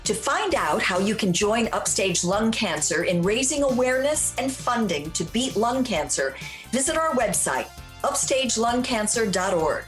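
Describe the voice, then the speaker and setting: A woman reads an upbeat scripted outro. Her voice is slightly high, with a roomy echo and faint music behind. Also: very animated, moderate pace. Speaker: announcer. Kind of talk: scripted promo read.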